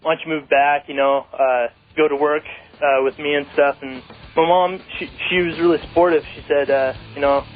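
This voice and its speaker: Guy in his 20s calling a radio show, slightly high voice, casual, phone sound. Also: fairly even intonation, a little fast. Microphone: telephone. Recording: narrow tinny phone line on radio.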